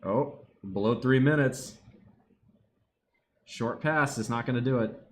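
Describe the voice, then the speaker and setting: A man chatting casually to his live stream from home, slipping into sudden excited yelling at a football game. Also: medium pitch, a little slow. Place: home room.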